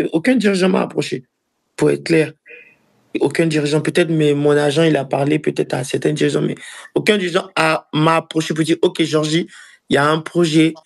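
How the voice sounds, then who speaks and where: A man in his early twenties with an Ivorian accent, a footballer answering interview questions casually and haltingly, often repeating phrases. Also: a little high, creaky, breathy edge. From Ivory Coast. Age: early 20s. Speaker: professional footballer being interviewed.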